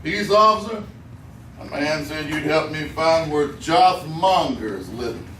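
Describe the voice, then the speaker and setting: A man's voice: fairly high pitch, expressive, takes it slowly, pushed and bright, full character voice. An actor playing a blunt, menacing backwoods mountain man with a Southern mountain accent in an old-time radio western. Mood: grimly determined. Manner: blunt, folksy backwoods talk. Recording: roomy, distant old radio recording, noisy.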